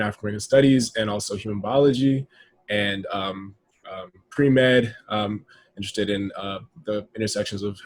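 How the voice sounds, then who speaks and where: A young college student from Texas introduces himself on a video call, eager and a little hesitant. His voice sits low. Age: young adult.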